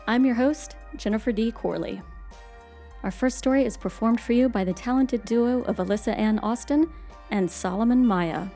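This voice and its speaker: Mellow teasing woman host over music. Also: a little high, normal speed. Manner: teasing, tongue-in-cheek. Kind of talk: scripted horror show introduction.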